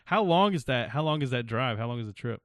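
A man's voice: medium pitch, animated, fast, a bit bright, no room sound, studio quality. A man hosting a podcast, chatting casually and rambling with frequent laughs. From South Carolina. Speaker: podcast host.

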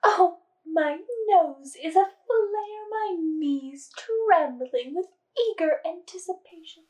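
Play her as a gossip-hungry queen , a woman doing the voice, very high and slow. Draw out every word as if tasting it, and keep the delivery theatrical and grand. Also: warm tone. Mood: gleeful. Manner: theatrical, savoring each word.

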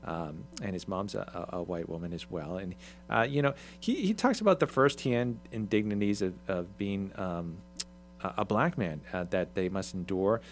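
A man hosting a talk show, summarizing an article in a halting way, with many ums and restarts. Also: sits low, expressive, soft background music. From Irish, Swedish ancestry mentioned. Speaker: radio show host. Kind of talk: casual talk show discussion.

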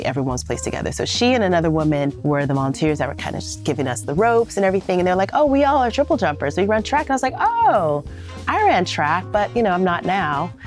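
Upbeat woman podcast host, low voice. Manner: upbeat, animated storytelling. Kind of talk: podcast interview. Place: Atlanta.

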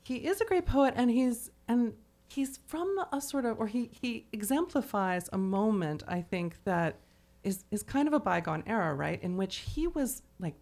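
A woman's voice: on the high side, animated, moderate pace, a little mellow. A woman hosting a podcast, discussing literature casually in a conversational way peppered with "like" and "you know". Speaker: podcast host.

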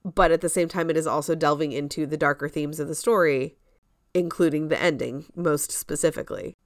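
Low-pitched woman in casual podcast chat. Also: fairly even intonation, a little fast, dry recording, studio quality. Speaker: podcast co-host. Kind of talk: casual chat about musical theatre.

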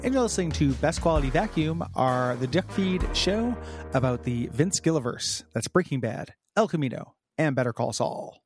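A man's voice: fairly high pitch, expressive, soft background music. A man co-hosting a podcast in casual chat, with a froggy voice, talking carefully around a sore tooth, full of fillers and restarts. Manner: halting, lots of fillers and restarts. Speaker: podcast co-host.

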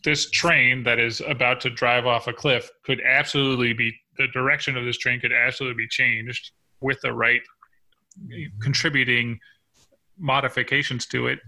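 A man's voice: medium pitch, level tone, normal speed, bright, forceful tone, poor audio. A man co-hosting a podcast, talking casually and reflectively about working life. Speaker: podcast co-host. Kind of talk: casual workplace discussion.

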